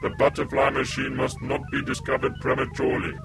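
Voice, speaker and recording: A man's voice: mid-range voice, monotone, a little fast, fairly bright tone, natural voice. Man as a Cyberman commander: emotionless, clipped orders, mid-range voice, faint music under it. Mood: scheming. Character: cold commanding male Cyberman leader. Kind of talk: fan audio drama acting.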